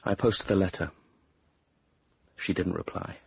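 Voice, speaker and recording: A man's voice: low voice, steady tone, measured pace, gravelly, a little mellow, slightly rough, exaggerated voice. An actor playing an anxious, lovesick young man in a radio drama, earnest and confiding as he tells his own story. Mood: flustered, apologetic. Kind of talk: radio drama acting and first-person narration.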